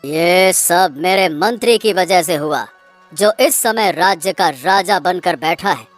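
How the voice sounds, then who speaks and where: A man's voice: sits high, slow pace, faint music behind. Man as an emphatic young cartoon prince. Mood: indignant. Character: wronged young fairy-tale prince, proud and indignant.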